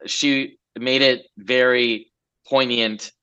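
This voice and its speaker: Bright-voiced man, relaxed rambling talk. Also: medium pitch, slow pace, clear, resonant tone, lo-fi sound. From Los Angeles. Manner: relaxed, rambling, many fillers. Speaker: guest entrepreneur. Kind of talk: casual interview chat.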